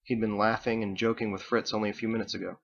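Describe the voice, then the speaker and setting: A man's voice: on the low side, level tone, fast talker, gravelly, highs cut off. A man reading his own book as scripted audiobook narration.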